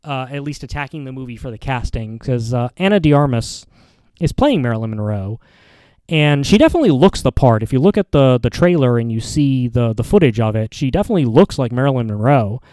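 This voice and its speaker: A man with a soft-edged, mellow voice of ordinary pitch talks casually as a podcast host. He rambles through his opinions, often repeating and correcting himself as he goes. The sound is dry, with very little room to it. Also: expressive, dry recording. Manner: halting, rambling delivery with frequent repeats and self-corrections. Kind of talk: casual opinion commentary monologue.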